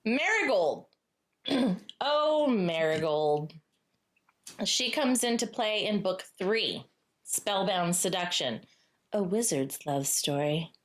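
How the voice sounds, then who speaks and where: A woman co-hosting a casual book chat in a playful, cheeky manner, pausing dramatically for emphasis. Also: medium pitch, expressive. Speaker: podcast co-host.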